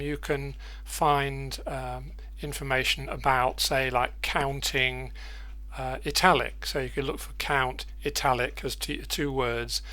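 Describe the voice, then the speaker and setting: A man presenting an unscripted software tutorial, thinking aloud haltingly and repeating words as he goes. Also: mid-range voice. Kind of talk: unscripted screen-share tutorial.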